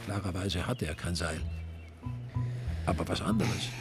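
A man narrates a crime story in a low voice with plenty of creak. His delivery is dry and wry, and music plays clearly behind him. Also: sing-song, unhurried. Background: music under the narration.

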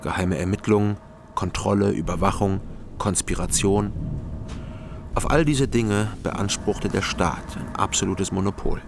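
Low, gravelly man, guarded detective. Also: measured pace, noisy background. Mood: guarded, reflective. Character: guarded, melancholy East German private detective narrating his story. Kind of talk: radio drama first-person narration and dialogue.

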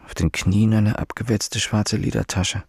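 Weary, gravelly-voiced police inspector. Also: male voice, fairly low pitch, measured pace, dry recording, clean studio sound, full character voice. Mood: weary, brooding. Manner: deadpan, brusque, world-weary. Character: weary, dismissive middle-aged male police inspector. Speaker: actor playing the police inspector and narrator. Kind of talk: radio drama acting with inner monologue.

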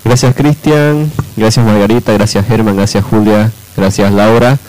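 Male radio co-host, lowish voice, unscripted and hesitant delivery. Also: steady tone, darkish tone, rough recording. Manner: hesitant, halting.

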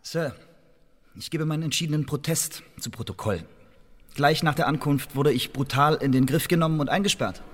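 An actor playing a cocky, hot-headed male secret agent in a German radio drama, lively and insolent. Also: a little high, moderate pace, close to an everyday voice. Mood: defiant, indignant.

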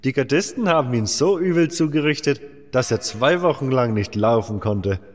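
A man voicing a coarse, mocking mine digger in a fantasy audio drama, talking in a rough, gossiping way. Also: medium pitch, animated, normal speed, big-room sound, voice pushed up, lightly acted.

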